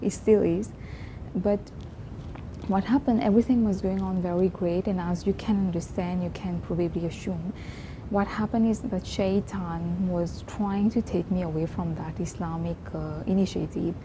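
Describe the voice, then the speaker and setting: Soft-spoken young woman vlogging, hum behind. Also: fairly low pitch, fairly even intonation. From Mauritius. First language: Mauritian Creole. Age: 20s. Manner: reflective, earnest, many restarts and pauses. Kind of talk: casual personal vlog talk.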